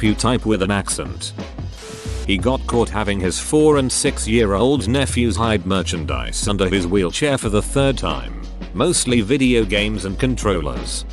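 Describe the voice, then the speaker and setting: A man reading forum posts aloud as a narrator, even and methodical, spelling out abbreviations letter by letter. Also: lowish voice. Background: soft background music.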